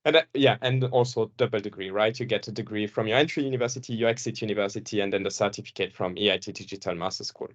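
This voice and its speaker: A man's voice: fairly low pitch, quick pace, hardly any pauses, muffled recording. A young man from Belgium whose first language is French, giving a casual presentation at an information session as a former student, often repeating and restarting his words.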